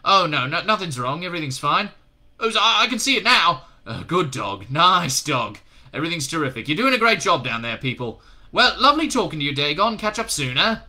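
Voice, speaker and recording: A man's voice: medium pitch, expressive, normal speed, pushed and bright. A man voicing a slick male demon who is dry and sarcastic, blustering when caught out. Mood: flustered.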